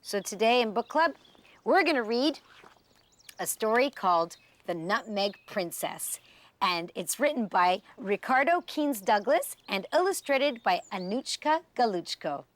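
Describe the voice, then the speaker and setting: A woman host reading a children's storybook aloud in an expressive, animated way, stretching key words for effect. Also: on the high side, measured pace.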